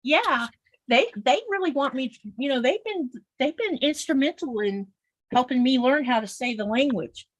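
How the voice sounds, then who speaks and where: A woman's voice: high voice, lively intonation, moderate pace, dry recording, poor audio. A woman with a Southern US accent, chatting casually on a livestream in an explanatory, folksy way. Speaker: quilter guest on a livestream.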